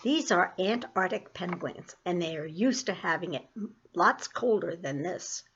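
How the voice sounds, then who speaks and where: A woman's voice: on the low side, expressive, measured pace, fairly bright tone, lo-fi sound, a little hiss or hum. A woman reading the part of a polite, earnest middle-aged man with a troupe of penguins, in a mild, courteous manner.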